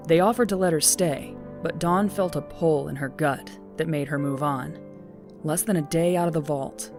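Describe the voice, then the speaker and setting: A woman with a lowish voice narrates a scripted story. She reads as the storyteller of an audio drama, with clear music playing behind her.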